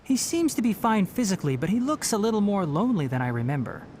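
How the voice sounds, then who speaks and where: A man voicing a gentle but frank young forest ranger in an anime game, articulate, instructive and mildly chiding. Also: high voice, big pitch swings, fast, slight background noise. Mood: calm, matter-of-fact.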